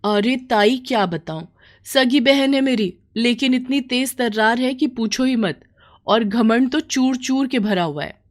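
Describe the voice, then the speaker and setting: A woman voicing a proud, envious young village wife in a bedtime story, sharp, complaining and insistent. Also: fairly high pitch, measured pace, pitched above the performer's own voice, lightly acted. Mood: spiteful gossiping.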